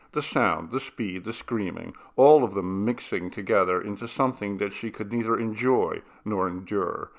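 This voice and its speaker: Gravelly man reading a story. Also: fairly low pitch, fairly bright tone. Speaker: audiobook reader. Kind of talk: narrated short fiction reading.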